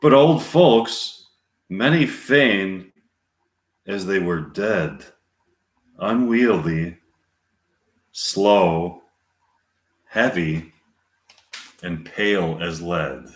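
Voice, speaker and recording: A man reads a restless, lovesick young girl in slow, earnest verse, with a low voice that swings widely in pitch. The reading halts now and then. The room around it is echoey. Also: animated, exaggerated voice. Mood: impatient. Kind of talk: amateur Shakespeare reading.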